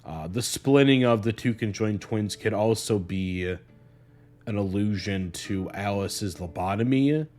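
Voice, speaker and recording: A man narrating a scripted video essay in a measured, earnest way. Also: lowish voice, a little mellow. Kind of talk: scripted analytical narration of a horror series. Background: guitar music.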